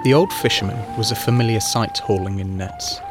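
A man reading a long-form news story aloud as scripted narration, in measured storytelling. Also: loud music behind the voice. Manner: measured storytelling, raises pitch for quoted cries.

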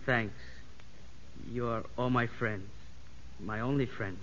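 An actor playing a forlorn, timid released convict in 1950s radio drama, plaintive and halting, on a muffled, noisy old broadcast. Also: male voice, mid-range voice, steady tone, a bit bright. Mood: sorrowful.

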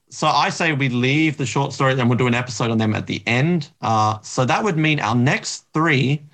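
A man's voice: medium pitch, fairly bright tone. A man hosting a podcast, chatting casually about books. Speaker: podcast host.

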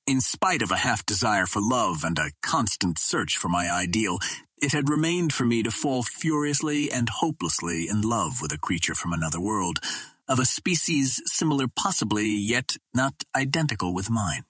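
A man reading a first-person adventure novel aloud in a measured, literary storytelling delivery. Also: fairly low pitch, expressive, a bit bright, slightly rough, dry recording. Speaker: audiobook reader.

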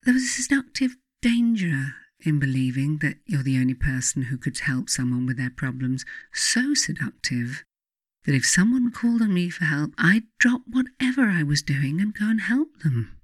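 Female narrator for reflective audiobook and guided meditation; measured, deliberate, pausing often, very dry sound. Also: sits low, sing-song, no room sound. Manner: measured, deliberate phrasing with frequent pauses. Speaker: author reading own book, guided meditation narrator.

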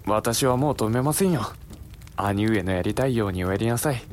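An actor playing a samurai lord's level-headed younger brother in a Japanese period audio drama, speaking politely and formally, in an admonishing way. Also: male voice, lowish voice, animated, moderate pace, a little mellow, faint music behind, close to an everyday voice. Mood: resigned acceptance.

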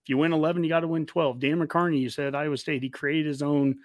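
A man's voice: fairly high pitch, fairly even intonation, fast, dry recording, clean studio sound. An American man in his forties hosting a sports fan podcast in casual chat, rambling along enthusiastically. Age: 40s.

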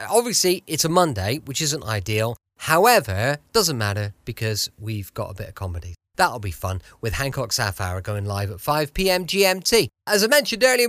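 A man's voice: on the high side, fairly bright tone, dry recording, clean studio sound. A man hosting a show, chatting informally to his listeners. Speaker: podcast host. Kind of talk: casual scripted-outro chat to listeners. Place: home, close dry recording.

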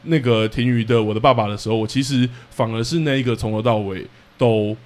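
Fast-talking Taiwanese man, casual co-host. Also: medium pitch, fairly even intonation. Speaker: podcast co-host. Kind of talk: casual discussion.

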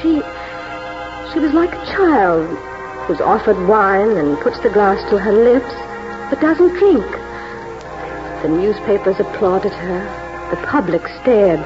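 An actress playing a reflective, knowing mother in a 1940s radio drama, with a measured, poetic delivery. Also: mid-range voice, very animated, over music. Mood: wistful, awed. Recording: dull vintage radio, loud musical underscore.

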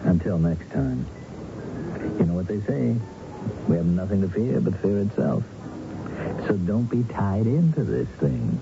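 An actor playing a breezy, self-assured crony in a radio drama, casual and philosophizing. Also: male voice, deep voice, very animated, a little slow, creaky, long pauses, full character voice. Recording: muffled radio broadcast with music.

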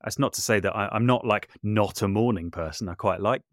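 Low-voiced man, thoughtful and dry-sounding. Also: fairly quick, no room sound. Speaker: interview guest, composer and voice artist. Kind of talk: casual conversational interview. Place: small brick outbuilding home studio.

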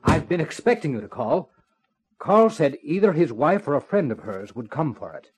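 An actor playing a hesitant, earnest working man with a husky voice in a 1950s radio drama. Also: on the high side, big pitch swings, normal speed. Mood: uneasy. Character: hesitant, conscientious male factory worker.

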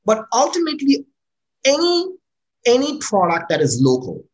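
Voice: mid-range voice, normal speed. A startup founder from Pakistan, answering interview questions casually and often checking in with "right?". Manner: frequent "right?" tag questions, restarts words when excited.

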